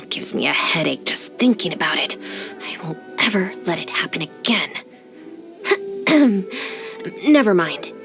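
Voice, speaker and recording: A woman's voice: low-pitched, very animated, a little slow, creaky, pauses often, music in the background, exaggerated voice. An actress voicing a capable, matter-of-fact young woman, the self-assured deputy of a gang in a video game. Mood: exasperated. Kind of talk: scripted video game character voice lines.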